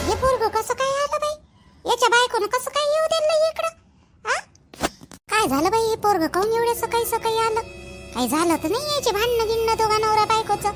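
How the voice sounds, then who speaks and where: Very shrill, fussing village mother acted by a woman. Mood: worried, wondering. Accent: Vidarbha Marathi. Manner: chatty, repeats herself. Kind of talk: amateur cartoon voice acting.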